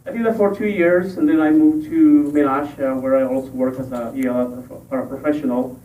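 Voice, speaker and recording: A man from Ecuador, a school board candidate, giving a halting forum speech with many pauses and fillers. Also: on the high side, fairly even intonation, slight background noise. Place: library meeting room. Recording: echoey room, tinny and distant.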